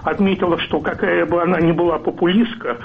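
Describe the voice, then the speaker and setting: A man's voice: sits high, steady tone, a little fast, creaky, phone-quality sound, slight background noise. A man from Moscow calling in to a radio show, ceremonious and hesitant with many ums. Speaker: caller. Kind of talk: radio call-in.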